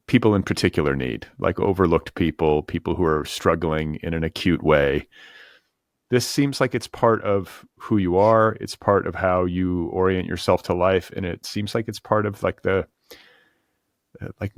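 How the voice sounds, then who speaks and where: A man's voice: deep voice, dry recording, studio quality. A man hosting a conversational podcast interview, reflective and feeling his way through long, tentative questions. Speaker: podcast host interviewing a writer.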